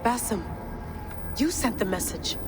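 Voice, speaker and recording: An actress playing a wary, blunt modern video game heroine with a direct, challenging manner. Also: female voice, medium pitch, animated, unhurried, music in the background. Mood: suspicious, challenging. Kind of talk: video game cutscene acting.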